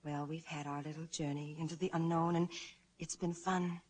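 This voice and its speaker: An actress playing a devoted, hopeful young wife, tender and earnest, in a 1940s radio drama. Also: female voice, low voice, flat delivery, moderate pace, a little mellow, husky. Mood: tender encouragement. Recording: old 1940s radio broadcast recording.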